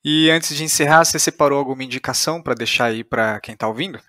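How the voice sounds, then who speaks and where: A man's voice: medium pitch, fast, pushed and bright, dry recording. Brazilian male podcast host, dry close sound. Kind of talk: conversational podcast hosting and sign-off.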